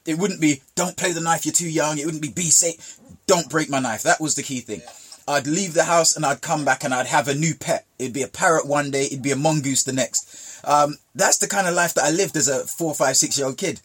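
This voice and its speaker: A man's voice: fairly high pitch, a little fast, a bit bright, breathy edge. A man from Jamaica telling childhood stories in casual conversation, with emphatic repetition. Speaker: guest.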